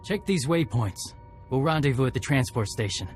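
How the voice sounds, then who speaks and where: An actor playing a serious, honor-bound tracker in a video game cutscene, talking in clipped, matter-of-fact phrases. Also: male voice, medium pitch, lively intonation, moderate pace, soft background music, close to an everyday voice. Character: terse, serious male Mandalorian tracker seeking honor.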